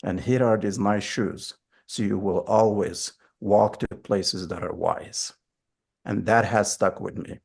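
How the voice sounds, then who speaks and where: A man in his forties answers interview questions with an earnest, storytelling manner. His voice sits low and carries a gravelly edge throughout. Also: fairly even intonation, slow pace. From Morocco. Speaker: school board candidate.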